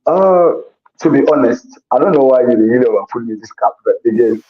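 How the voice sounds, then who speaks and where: A man talks earnestly as an interview guest, often circling back over his own words while he thinks. His voice is husky and sits at a middle pitch. The recording sounds dull and lo-fi. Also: animated, brisk. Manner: earnest, repeats himself while searching for words. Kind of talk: casual conversational interview.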